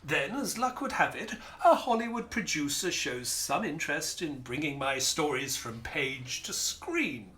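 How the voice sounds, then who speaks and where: An actor playing a polite, earnest detective's companion who narrates an audio drama in a formal, theatrical storytelling manner. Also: male voice, on the high side, expressive, unhurried, few pauses, pushed and bright, slight background noise. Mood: exasperated.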